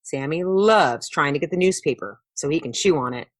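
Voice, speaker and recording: Lady doing a friendly little boy in a kids storybook, chatty, super bouncy pitch, bit husky. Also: animated, normal speed, dry recording. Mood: eager, friendly. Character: chatty, good-natured young boy telling his dog story. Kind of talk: children's storybook read-aloud.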